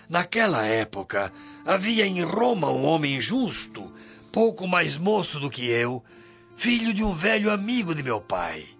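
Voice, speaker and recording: A man's voice: fairly high pitch, gravelly, a bit bright, full character voice. A man playing a weary, humble old Roman sailor who recounts his life solemnly and emotively in a Brazilian accent, in radio drama style. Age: older. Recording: muffled radio drama with music behind.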